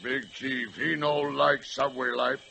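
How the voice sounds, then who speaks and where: Gravelly, slow, stolid old-radio train. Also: male voice, mid-range voice, fairly even intonation, pushed and bright, a little hiss or hum, exaggerated voice. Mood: wistful, solemn. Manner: broken English, short clipped phrases. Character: stolid male train called Chief, dreaming of being horse. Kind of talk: 1940s radio acting.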